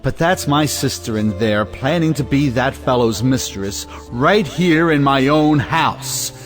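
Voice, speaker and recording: Man acting indignant brother, blustering, hot-headed, swooping pitch, slow delivery, audio play. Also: animated, lightly acted. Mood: indignant, scornful. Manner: blustering, exclamatory. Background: music.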